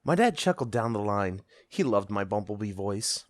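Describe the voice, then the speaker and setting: A man narrating a scripted first-person story in an expressive, earnest, boyish manner. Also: on the low side, moderate pace, no room sound, clean studio sound.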